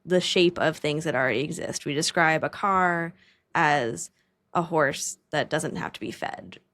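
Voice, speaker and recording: Gravelly low-voiced woman podcast host. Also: measured pace, a bit bright. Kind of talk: casual interview chat.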